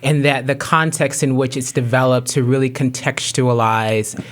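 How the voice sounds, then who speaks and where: A woman's voice: extremely low pitch, steady tone, normal speed. A woman speaking as a guest on a panel discussion, thoughtful and explanatory.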